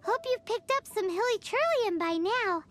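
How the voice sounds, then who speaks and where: A woman's voice: very high voice, expressive, normal speed, fairly bright tone. A woman voicing a tiny, childlike floating fairy companion in a video game, blunt and cutesy, with exaggerated delivery. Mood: upbeat.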